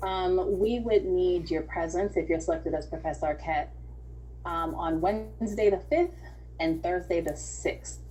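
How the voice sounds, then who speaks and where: A woman's voice: fairly low pitch, unhurried, warm tone, a little hiss or hum, low-quality recording. A woman acting as casting director, explaining things in an organised way on an online audition call.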